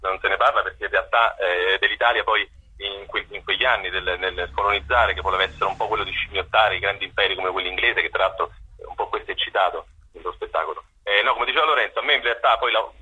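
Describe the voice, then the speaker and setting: A husky-voiced man answering conversationally in a radio interview, digressing and correcting himself, on a slightly lo-fi line. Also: on the low side, fast talker, sharp tone. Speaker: actor, interview guest.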